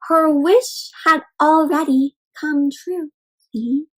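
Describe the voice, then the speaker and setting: Female kids' storybook host, high-pitched, animated, interactive delivery aimed at little listeners. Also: slow, noisy background. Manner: animated, asks listeners questions, addresses them as friends. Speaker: children's read-aloud host. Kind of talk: interactive picture book read-aloud for young children.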